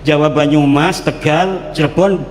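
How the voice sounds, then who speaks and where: Male preacher, high voice, boastful and joking, informal public speech, long hall reverb. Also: steady tone, unhurried, a little hiss or hum. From Banten, Indonesia. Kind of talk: informal public speech mixing regional languages.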